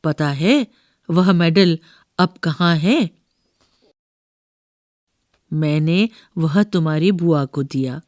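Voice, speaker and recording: A woman's voice: low-pitched, dry recording, clean studio sound. A woman voicing a rambling, doting old grandmother who keeps correcting herself in a storybook reading. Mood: grumbling. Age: older. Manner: slow, rambling, self-correcting.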